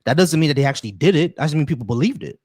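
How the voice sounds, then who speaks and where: A man hosting a call-in stream, arguing quickly and repeating phrases to make his point. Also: on the high side, animated, a little mellow, husky, no echo, studio quality. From United States. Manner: rapid, argumentative, repeats phrases. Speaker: host. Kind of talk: casual debate on a call-in stream.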